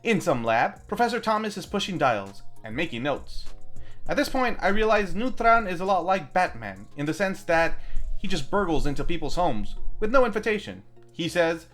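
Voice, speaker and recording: A man's voice: high voice, sing-song, normal speed, a bit bright, faint music behind. A man hosting a film review, reading scripted narration with playful, wry asides. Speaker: host of a film review show.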